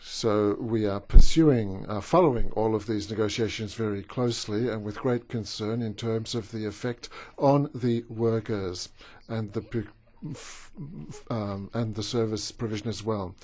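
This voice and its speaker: A man with a strong Austrian German accent, a native German speaker, speaking haltingly as a trade union representative at a conference. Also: on the low side, fairly even intonation, darkish tone. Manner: halting, with many fillers and restarts. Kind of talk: conference speech.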